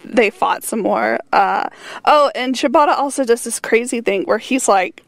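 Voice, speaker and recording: A woman's voice: on the high side, moderate pace, gravelly. A woman with a head cold and a stuffed-up voice, leaving a rambling, giggly voicemail full of fillers. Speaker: voicemail caller. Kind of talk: casual voicemail message.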